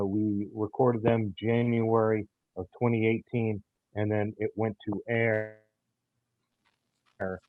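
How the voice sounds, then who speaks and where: A man talking in an interview as the creator of an audio theater show, in a halting way that often repeats words and phrases. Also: lowish voice, dry recording. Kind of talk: conversational interview talk. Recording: thin phone-like sound.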